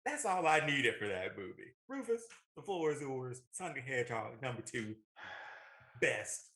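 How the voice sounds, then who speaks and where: Bright-voiced man chatting about films. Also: mid-range voice, very animated, measured pace. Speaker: podcast co-host. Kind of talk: casual opinionated chat about films.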